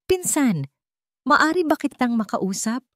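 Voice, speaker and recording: A woman's voice: high voice, sing-song, measured pace, no room sound, pitched above the performer's own voice. A woman reader acts out a scheming female cousin from a romantic audio story. She has a Filipino accent and talks with hesitant, put-on concern, as if reluctant to speak ill. The sound is dry and close. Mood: feigned worry. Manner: hesitant, falsely worried. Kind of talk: storybook character dialogue.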